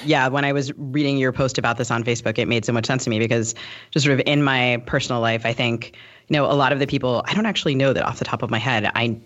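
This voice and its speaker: A woman's voice: a bass voice, fairly bright tone. A woman co-hosting a podcast, talking casually in an informal and opinionated way. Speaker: podcast co-host. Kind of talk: casual conversational discussion. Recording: dull, lo-fi audio.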